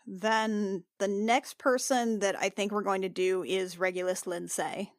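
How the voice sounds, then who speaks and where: A woman's voice: mid-range voice, steady tone, a little slow, no echo. Woman playing a tabletop game, close dry sound. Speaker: player in a tabletop roleplaying game. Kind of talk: casual in-character and descriptive game talk.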